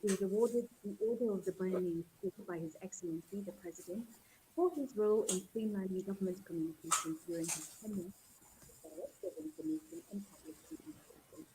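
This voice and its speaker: A soft-spoken woman, hosting an online panel discussion in a measured way over a video call. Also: mid-range voice, background noise. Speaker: host.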